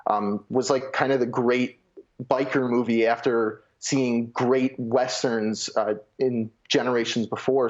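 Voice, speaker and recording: Male pundit, mid-pitched, halting live commentary with repeated words, dull lo-fi link. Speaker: film reporter, interview guest. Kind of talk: unscripted live interview commentary.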